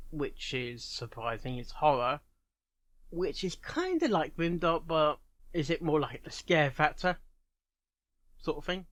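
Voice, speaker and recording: A man's voice: a little high, a bit bright, no room sound. A man hosting a reaction video, making jokey, chatty asides about a story reading. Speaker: reaction host. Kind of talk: casual reaction commentary.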